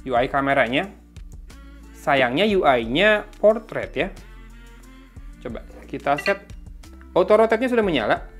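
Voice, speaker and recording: A man giving a casual, informal tech review, thinking aloud as he reads out specifications. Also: fairly high pitch. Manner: informal, thinking aloud while reading out specs. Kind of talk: casual unboxing and review commentary.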